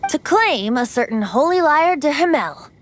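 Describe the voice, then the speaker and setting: A woman's voice: high voice, very animated, normal speed. A woman playing a cheerful, cheeky young male bard in an anime game, with a playful, boastful, theatrical manner. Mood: gentle, wistful.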